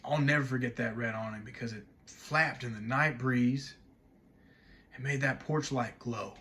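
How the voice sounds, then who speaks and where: A man performing a dramatic stage monologue as a wistful man recalling young love, telling it reflectively in short, measured phrases. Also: medium pitch, bright, forceful tone. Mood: wistful, hushed. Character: wistful man haunted by a childhood night of love.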